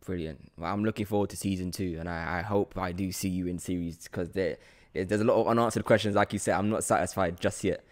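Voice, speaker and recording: A man in his twenties with a lowish voice. He chats casually as an interview host, effusive and easily excited, close on a podcast mic. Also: quick pace. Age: 20s. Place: studio room with large windows. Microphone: podcast mic on boom arm.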